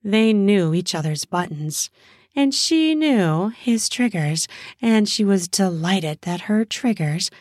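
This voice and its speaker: A woman narrating a scripted erotic story in an intimate, deliberate manner with drawn-out phrasing. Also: medium pitch, expressive, darkish tone, dry recording, studio quality.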